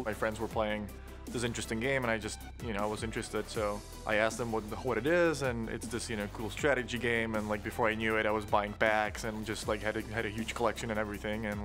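A Czech-accented man in a player profile video, speaking quickly over light music. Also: fairly low pitch, a bit bright. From Czech Republic. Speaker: professional card game player. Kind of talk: casual interview monologue. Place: dark interview studio.

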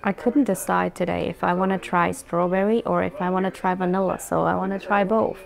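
A young woman from Austria, chatting casually to the camera as a vlogger, relaxed and talkative. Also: on the low side, a little fast, creaky. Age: young adult.

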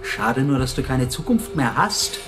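Sadistic gunman, smug taunting, radio thriller villain, slightly off-mic, loud music. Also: mid-range voice, lively intonation, a little slow, distant mic. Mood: mocking, menacing. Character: sadistic mocking male gunman holding a captive.

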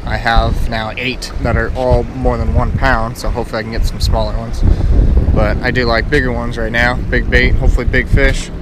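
A man's voice: medium pitch, flat delivery, normal speed, very noisy. An American man casually narrating his solo fishing outing as a vlogger, recorded outdoors on an action camera. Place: outdoors on a lake shore. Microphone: head-mounted action camera.

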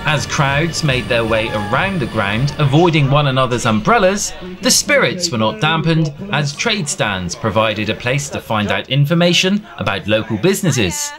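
A man narrating a local news report in an upbeat, lively way. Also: mid-range voice, moderate pace, over music.

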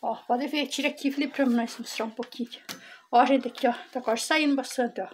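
A woman's voice: sits high, steady tone, a little fast, a little hiss or hum. A middle-aged woman, chatting to her viewers as she shows a cooking recipe in her farm kitchen and keeps calling them "gente". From Brazil. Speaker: cooking vlogger. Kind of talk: casual cooking demonstration.

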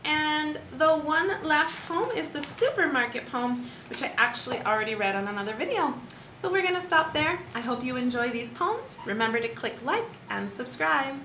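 A young woman teacher reading poems aloud to young pupils in an expressive sing-song way, with gentle asides between poems, filmed at home on a close webcam. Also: high-pitched, moderate pace, a little hiss or hum. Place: living room at home. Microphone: webcam at close range. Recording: echoey room with faint ambience.

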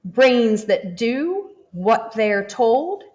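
A woman, a teacher, reading a children's picture book aloud in a gentle, expressive manner. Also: on the high side, muffled recording. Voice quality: expressive and animated, slow and gentle. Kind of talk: children's storybook read-aloud. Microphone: camera over the book, hands turning pages.

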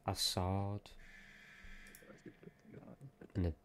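A man's voice: low-pitched, little pitch movement, very slow, a little hiss or hum. A young man giving casual, chatty commentary on a team game scrim.

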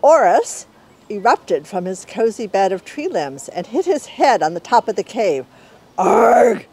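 An American woman in her sixties reads a children's storybook aloud. She speaks as the author presenting her own book, at a middle pitch, outdoors in a courtyard. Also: big pitch swings, moderate pace, darkish tone, husky. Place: outdoor walled courtyard in daylight.

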